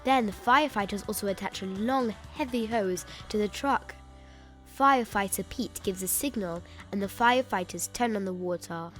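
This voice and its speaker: A woman reading a children's picture book aloud in an expressive, emphatic way, stretching out key words. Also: medium pitch, moderate pace. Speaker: children's story reader. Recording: music bed behind the voice.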